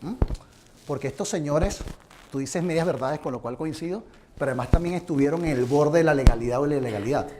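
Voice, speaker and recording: Seminar presenter, male, from Venezuela; slightly raised pitch, earnest advisory delivery over a noisy room recording. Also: quick pace. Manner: earnest, advising, addresses the audience directly. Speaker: conference presenter. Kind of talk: live seminar talk with audience questions.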